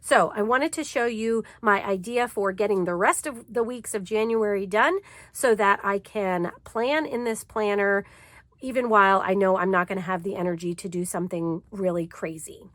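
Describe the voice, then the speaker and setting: A woman's voice: medium pitch, moderate pace, fairly bright tone, no echo. A woman with a stuffy cold voice hosting a crafting channel, chatting in an easygoing way as she shows a planner layout. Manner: chatty and easygoing, with frequent fillers. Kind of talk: casual crafting tutorial chat.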